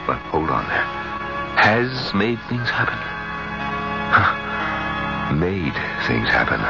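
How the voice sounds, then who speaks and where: A man's voice: sits low, very animated, slow, gravelly, over loud music, exaggerated voice. An actor playing a tormented middle-aged man, brooding and dramatic as he lives and narrates his ordeal in a radio drama. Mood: haunted, brooding. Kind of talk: first-person radio drama narration and acting. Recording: muffled 1970s radio broadcast.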